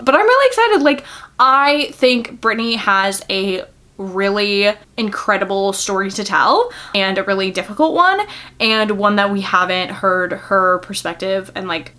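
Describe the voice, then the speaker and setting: A woman's voice: mid-range voice, sharp tone. A young adult woman chatting casually to viewers as a book vlogger, gushing and giggly, with lots of filler words. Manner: gushing, informal, filler-heavy with frequent "like".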